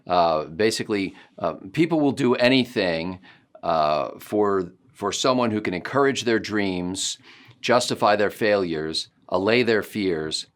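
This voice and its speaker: A middle-aged man on a casual video call, explaining ideas in a reflective, measured way. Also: deep voice, a bit bright. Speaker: co-host. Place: home room.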